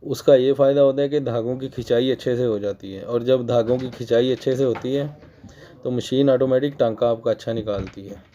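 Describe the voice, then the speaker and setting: A man from Pakistan with a Pakistani Urdu accent, hoarse from a cold, patiently explaining sewing machine work in a casual tutorial. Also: medium pitch, a little fast, dark tone, slight background noise. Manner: patient, repeats points for emphasis; clears his throat often. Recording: dull lo-fi recording.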